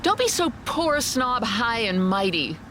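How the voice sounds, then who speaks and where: A wealthy, headstrong young wife in a radio detective play. Her haughty voice leaps around in pitch, exasperated and emotional. The recording is clearly noisy. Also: very animated, a little slow, fairly bright tone. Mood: angry, upset. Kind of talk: radio drama dialogue.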